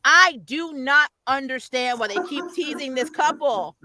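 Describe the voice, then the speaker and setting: A woman's voice: sits high, lively intonation, measured pace, a crowd in the background. A woman hosting a casual, opinionated TV recap chat, emphatic and exasperated, often breaking into laughter. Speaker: host of a pop culture review show.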